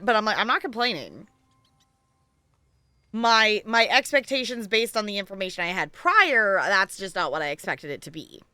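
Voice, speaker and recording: Bright, animated woman streaming a game. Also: mid-range voice, no echo. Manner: playful, rambling, self-deprecating. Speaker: live streamer playing an online game. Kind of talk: casual gaming stream chat. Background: music.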